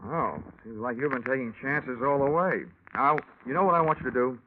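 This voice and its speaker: An American actor playing a wisecracking, hard-boiled insurance investigator in an old radio drama, wry, slangy and deadpan. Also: male voice, medium pitch, a little fast, slight background noise, close to an everyday voice. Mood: brisk, cocky. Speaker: lead actor and narrator. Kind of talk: old-time radio detective acting. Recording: muffled old radio recording, music behind.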